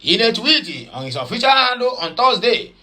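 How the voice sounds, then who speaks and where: A man with a Nigerian Pidgin accent talks through the news in a chatty, mocking way. His voice sits high and sounds bright and sharp. He rambles, repeats himself and drops in Igbo phrases. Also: sing-song, moderate pace. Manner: rambling with many fillers and repeats. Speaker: news commentator. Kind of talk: casual news commentary.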